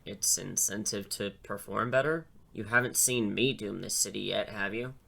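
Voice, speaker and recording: Guy playing wry rambly schoolteacher in audio drama, sharp forceful voice, bit of fry, sure of himself. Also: on the low side, moderate pace, full character voice. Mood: dry, confident. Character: dry, self-assured young male high school English teacher, secret crime-fighter. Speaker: teacher.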